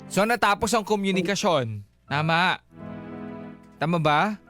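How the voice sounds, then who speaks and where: A man's voice: high-pitched, measured pace, fairly bright tone. A man hosting a radio advice show, questioning a caller casually but bluntly and probingly. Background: background music.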